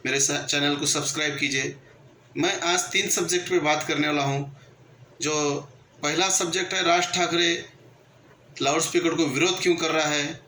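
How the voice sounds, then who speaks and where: A man's voice: fairly high pitch, steady tone, moderate pace, sharp tone. A man giving emphatic, repetitive, argumentative opinion commentary to his viewers as a channel host. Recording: echoey room with background noise.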